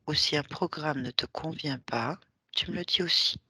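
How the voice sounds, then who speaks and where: Soft-whispering woman hypnotherapist with a bright edge. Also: deep voice, moderate pace, husky, no room sound. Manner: soft, patient, repeating short questions, often whispering. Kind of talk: guiding a hypnosis session.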